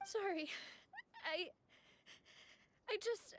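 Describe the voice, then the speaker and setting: A woman's voice: very high-pitched, extremely slow, slight background noise, exaggerated voice. A woman playing a young, dutiful noblewoman leader carrying grief, earnest and emotional, in an anime-style game. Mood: grief-stricken. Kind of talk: anime-style video game drama acting. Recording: music behind.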